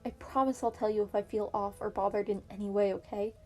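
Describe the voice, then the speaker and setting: A woman's voice: medium pitch, steady tone, fast, dark tone, close to an everyday voice. Woman reading as an earnest teenage schoolboy. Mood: earnest pleading. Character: earnest, clever teenage boy student hiding his trauma. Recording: piano music behind the voice.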